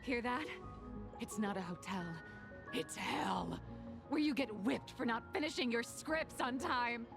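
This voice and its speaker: Breezy, high-voiced scheming novelist. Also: female voice, measured pace, creaky, music in the background. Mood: mock dread. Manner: playful, teasing, dramatically self-excusing. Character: breezy, theatrical, scheming young female novelist under escort. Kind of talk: anime game voice acting.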